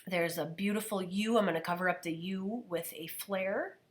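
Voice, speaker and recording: A woman's voice: medium pitch, moderate pace. A woman who is losing her voice to a cold, chatting casually as she narrates her scrapbooking steps. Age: adult, parent of a daughter. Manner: chatty, relaxed, describing steps as she goes. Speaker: scrapbooking video host. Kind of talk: casual craft voice-over narration.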